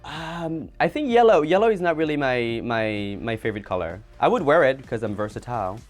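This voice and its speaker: A man with a mid-pitched voice answers as a chat show guest, earnest and thoughtful. He takes his time laying out his views, with faint music playing behind him. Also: animated, a little fast. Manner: earnest, thoughtful, laughs easily. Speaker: guest, drag performer. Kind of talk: casual chat.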